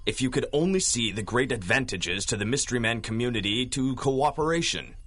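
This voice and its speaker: A bright-voiced, pedantic ogre hero. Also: male voice, mid-range voice, lively intonation, a little fast. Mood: fussy, earnest. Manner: precise, earnest, fussy. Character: pedantic, rule-bound male ogre superhero turned bureaucrat. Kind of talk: 1930s-style radio drama acting.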